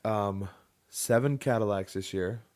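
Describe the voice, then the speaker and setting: A man hosting a podcast in casual conversation, speaking in a halting, hesitant way with dry joking. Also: on the low side, darkish tone. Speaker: podcast host.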